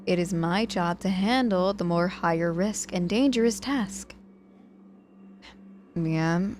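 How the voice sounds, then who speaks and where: A woman playing a cocky but softening injured witch mentor in an ASMR audio roleplay, dryly teasing, apologetic and protective with hesitant pauses. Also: medium pitch, slight background noise, close to an everyday voice. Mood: reassuring, protective.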